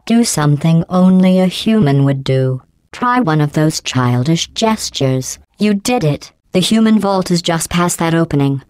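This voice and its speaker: A woman voicing a cold, sarcastic female AI computer that runs test courses, speaking in a deadpan, condescending, passive-aggressive way with a smooth, synthetic-sounding voice. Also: sits low, a little slow, no echo. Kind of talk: video game voice clips. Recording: dry studio recording.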